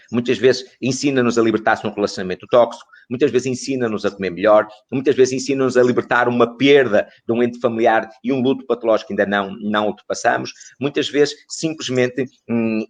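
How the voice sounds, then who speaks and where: A middle-aged man, explaining as an expert guest in an interview, in a didactic way with rhetorical questions and repeated phrases. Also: medium pitch. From northern Portugal.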